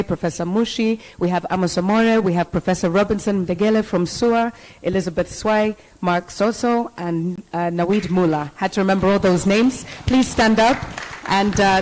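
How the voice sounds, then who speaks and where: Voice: medium pitch, slight background noise, low-quality recording. An event moderator, upbeat, directing the audience and thanking panelists at a conference. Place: conference hall.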